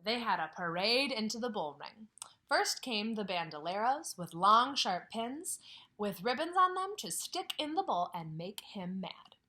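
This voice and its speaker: A woman's voice: mid-range voice, measured pace. A woman who teaches kindergarten, reading a picture book aloud at home and stopping to ask the children questions, sometimes dropping to a whisper. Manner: expressive storytelling with questions to children, drops to whispers. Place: at home, near a couch.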